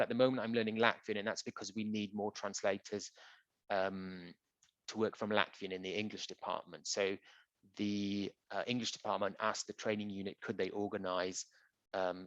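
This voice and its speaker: A man who works as a translator, answering audience questions in a relaxed, conversational way with frequent ums and uhs. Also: lowish voice, no room sound. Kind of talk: conversational Q&A presentation. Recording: dull, dry lo-fi audio.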